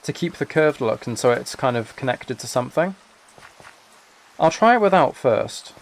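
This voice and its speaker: A man's voice: medium pitch, lively intonation, normal speed, gravelly. Man musing aloud on a gaming livestream. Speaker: live streamer. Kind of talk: casual gaming livestream chat.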